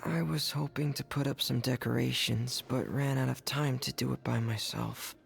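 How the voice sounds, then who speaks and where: A man voicing a shy, apologetic young man in a video game, hesitant and soft-spoken. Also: mid-range voice, fairly even intonation, normal speed, vocal fry. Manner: hesitant, timid. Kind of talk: animated video game acting. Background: faint game music.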